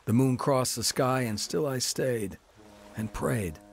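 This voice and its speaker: Earnest gravelly male storyteller. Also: mid-range voice, slow pace. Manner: earnest, preacherly. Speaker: narrator of his own life story. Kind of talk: dramatized testimony narration.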